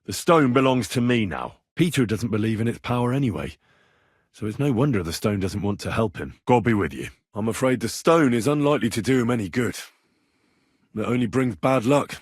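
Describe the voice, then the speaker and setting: A man's voice: medium pitch, lively intonation, brisk, slightly rough, dry recording, clean studio sound. An actor playing an earnest, stubborn young medieval man in a video game, speaking in a direct, persuasive way. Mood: earnest persuading. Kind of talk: acted video game dialogue lines.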